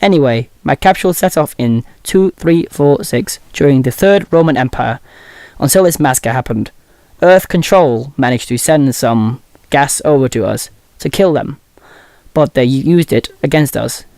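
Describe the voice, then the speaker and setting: A man's voice: medium pitch, moderate pace, a little mellow, no echo, close to an everyday voice. A man as a serious android, dry recording. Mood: grave. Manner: informative, serious. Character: matter-of-fact male android ally explaining grim events. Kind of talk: audio drama acting.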